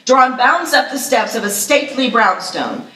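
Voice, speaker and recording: Female narrator for screenplay reading, crisp forceful tone, slightly distant in a roomy space. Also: fairly high pitch, expressive, normal speed. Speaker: stage-direction reader. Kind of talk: scripted narration at live table read. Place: live festival stage reading in echoey room. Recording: echoey room, slightly distant mic.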